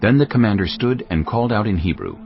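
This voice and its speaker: Deep gravelly male Bible narrator. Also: lively intonation, quick pace, soft background music. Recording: dull lo-fi audio.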